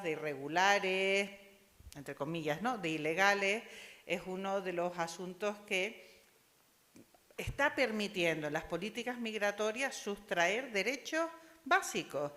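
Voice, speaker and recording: A woman's voice: mid-range voice, a bit bright. A woman from Spain with a Spanish accent, giving a discursive academic comment at a university panel and often adding tag questions. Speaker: audience member asking questions at an academic panel. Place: university lecture hall. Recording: long hall reverb.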